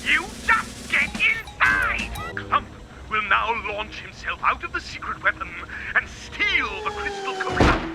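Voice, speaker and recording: Cartoon crocodile tyrant, very high voice, wildly swooping, pompous, grandiose, short-tempered, theatrical. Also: male voice, sing-song, full character voice. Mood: pompous, gloating. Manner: pompous, grandiloquent, drawn-out emphatic delivery. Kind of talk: theatrical cartoon villain acting. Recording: cartoon soundtrack with loud music behind.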